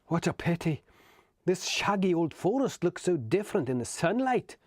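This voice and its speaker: A man's voice: high-pitched, very animated, moderate pace, no echo, clean studio sound, voice pushed up. A man reading a chatty young hobbit. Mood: wistful. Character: cheerful young male hobbit.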